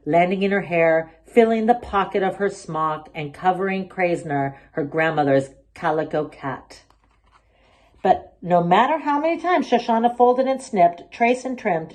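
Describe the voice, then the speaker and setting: Animated woman reading a children's story. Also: fairly low pitch. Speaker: storybook reader. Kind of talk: expressive children's story read-aloud.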